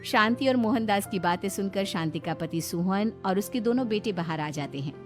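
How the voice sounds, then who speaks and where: Lively woman storyteller. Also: medium pitch, moderate pace, soft background music. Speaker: narrator. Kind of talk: scripted storytelling narration.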